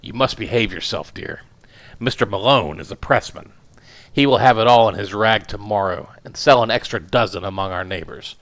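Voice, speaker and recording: A man voicing a gruff, domineering professor who rumbles and mocks his wife and a visitor. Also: moderate pace, a bit bright. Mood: gruff mocking. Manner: rumbling, sardonic. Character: gruff bullying male professor, mocking and domineering.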